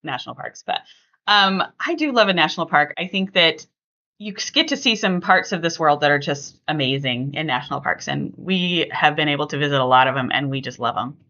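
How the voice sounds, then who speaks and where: A woman's voice: deep voice, animated, brisk, sharp tone, lo-fi sound. A middle-aged woman hosting a podcast, chatting casually and emphatically with family. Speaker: podcast host.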